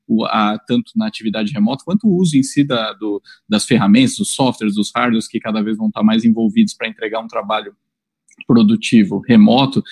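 A man talking in a measured way on a video call, ending with a question to his guest. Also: medium pitch, a little mellow, husky, no echo. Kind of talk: casual chat.

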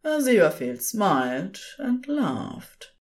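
A woman narrating scripted audio fiction as a storyteller, with an expressive, measured delivery. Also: mid-range voice.

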